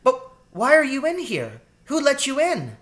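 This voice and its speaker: A man's voice: very high voice, unhurried, pitched above the performer's own voice, exaggerated voice. A man voicing a small young girl rat in a storybook, childish, wary and chatty. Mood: alarmed, wary. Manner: childish, excitable, suspicious questioning. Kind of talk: storybook character voice in a read-aloud.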